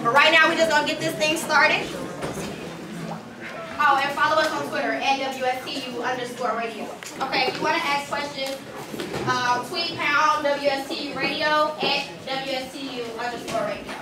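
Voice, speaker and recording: A woman's voice: high voice, fairly even intonation, moderate pace. A woman moderating a live panel discussion, recorded at a distance in an echoey room. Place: live event room with audience. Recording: echoey room, distant mic, faint noise.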